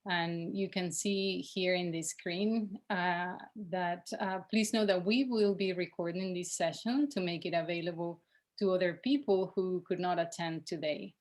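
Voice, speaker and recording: A woman's voice: fairly low pitch, fairly even intonation, slow pace. Venezuelan woman hosting a bilingual webinar. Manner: switches between Spanish and English, frequent fillers. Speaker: nonprofit director hosting a webinar.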